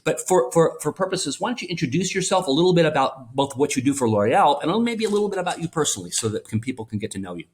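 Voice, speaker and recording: A man's voice: fairly high pitch, big pitch swings, quick pace, hardly any pauses. A man hosting a business interview podcast, friendly and welcoming, talking in long run-on sentences. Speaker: podcast host. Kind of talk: conversational interview.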